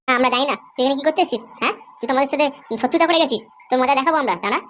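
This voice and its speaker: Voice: high voice, very fast, fairly bright tone. A performer voicing a hostile, vengeful woman in a cartoon comedy, taunting and confrontational, with faint ambient noise behind. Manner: confrontational, threatening. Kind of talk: amateur cartoon comedy dialogue.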